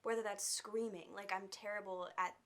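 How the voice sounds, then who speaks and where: Soft-voiced young actress being interviewed. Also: female voice, mid-range voice, steady tone, moderate pace. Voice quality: soft, quiet voice. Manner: open, candid, uses fillers like "like" and "um". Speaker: actress, guest. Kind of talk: casual interview.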